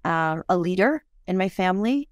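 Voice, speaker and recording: A woman's voice: fairly low pitch, expressive, normal speed, clean tone, no echo, studio quality. A woman in her fifties, a parenting coach and author, talking earnestly and reflectively in a podcast interview, with self-deprecating honesty.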